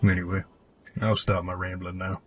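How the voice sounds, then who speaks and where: A man's voice: deep voice, completely flat delivery, moderate pace, soft background music, natural voice. A man playing a cryptic old cave hermit and mechanic in an audio drama, with a solemn, old-fashioned storyteller's delivery. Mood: gruff, practical. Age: older. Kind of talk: fan audio drama acting.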